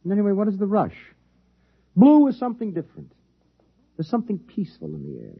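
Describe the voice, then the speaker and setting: An American actor playing a flippant, needling military biologist in a 1950s science fiction radio drama. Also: male voice, sits high, wildly swooping pitch, fast talker, breathy edge, exaggerated voice. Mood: dry, stubborn. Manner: teasing, sardonic. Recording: dull lo-fi 1950s radio recording.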